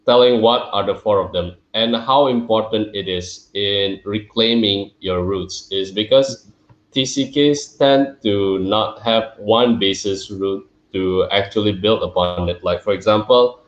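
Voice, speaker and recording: A man hosts the conversation, his voice sitting a bit low. He asks long, winding questions with frequent "uh"s. The sound is dull and roomy, echoing as in a bare room. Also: unhurried. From Singapore. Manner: hesitant, with many filler sounds. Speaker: podcast host. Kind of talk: interview conversation.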